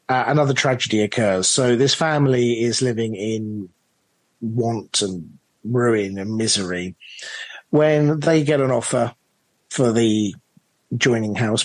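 A man's voice: fairly low pitch, dry recording, poor audio. A man being interviewed as a drama director, chatting in an enthusiastic, emphatic way. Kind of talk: animated interview chat.

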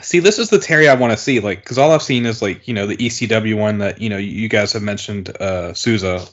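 A man's voice: lowish voice, brisk, dull audio. Man in laughing, swearing commentary. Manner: laughing, swearing, rambling with many likes. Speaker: podcast co-host. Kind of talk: casual watch-along commentary.

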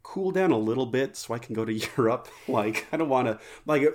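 A man's voice: mid-range voice, animated, brisk, a little mellow, slight background noise. A man co-hosting a podcast, telling jokey, rambling stories in casual banter. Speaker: podcast co-host.

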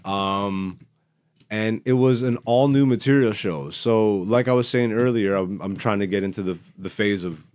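A man's voice: fairly low pitch, normal speed, a little mellow, clear, resonant tone. Emphatic, profane comedian man chatting on a podcast. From born in Greece, Ethiopian by blood. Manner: slangy, profane, emphatic storytelling. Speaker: stand-up comedian and podcast host. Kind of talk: casual, animated podcast chat.

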